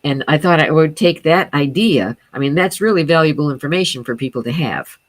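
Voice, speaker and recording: A woman with a notably low speaking voice talks as a guest about her sound healing teaching. She explains in an earnest, steady way, often pausing on fillers and restarting phrases. The recording is dry, with no room echo.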